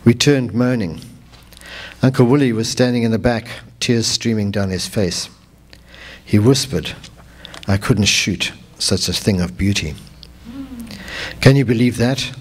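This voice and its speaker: A man with a South African accent reads from his own memoir in a fairly low voice. His delivery is literary and deliberate, with dramatic phrasing and pauses that linger. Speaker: author reading from own memoir.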